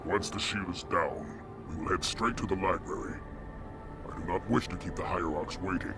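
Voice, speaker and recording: A gravelly, sardonic alien commander. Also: male voice, low voice, moderate pace, loud music behind the voice. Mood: businesslike. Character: gruff, sardonic, battle-hardened male alien commander. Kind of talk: video game cutscene acting.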